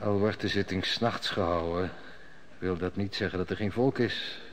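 A man voices a sardonic old-time radio-play steward, sly and mocking, with a lowish voice. He schemes in a cynical, world-weary drawl. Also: fairly even intonation, moderate pace, a crowd in the background, natural voice. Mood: scheming. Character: sly cynical male royal steward, heavy drinker. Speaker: court steward. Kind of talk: 1960s radio drama acting.

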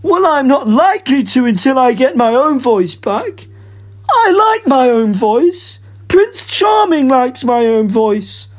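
A woman's voice: high voice, sing-song, slow pace, a little mellow, voice pushed up, full character voice. A woman voicing a fretful young princess in a radio pantomime, plaintive and indignant.

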